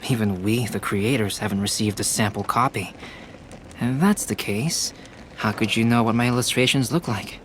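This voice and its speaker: A man voicing a calm, analytical young male alchemist and illustrator in a video game, soft-spoken and logical. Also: on the low side, moderate pace, a little hiss or hum, natural voice.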